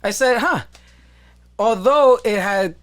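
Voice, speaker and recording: A man hosting a casual podcast, excitable and quick to laugh and swear. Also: sits high, no echo. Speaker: podcast host.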